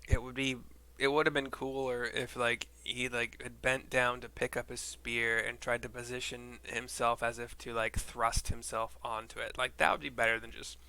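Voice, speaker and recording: A man's voice: medium pitch, flat delivery, normal speed, bright, forceful tone, smooth. A man streaming a video game, narrating scenes and commenting casually with rambling, informal, sweary asides. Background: game music.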